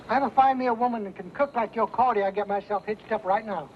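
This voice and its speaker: An actor playing a cheeky, wheedling young pioneer with a folksy American accent in an old time radio western. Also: male voice, high-pitched, fast, natural voice. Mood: jovial. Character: boyish, cheeky bachelor pioneer who likes his whiskey. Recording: dull, noisy old radio recording.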